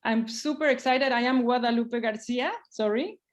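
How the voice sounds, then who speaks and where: A woman's voice: on the high side, moderate pace. A woman from Mexico hosting an online artist talk over a video call, friendly and a little hesitant, with restarts.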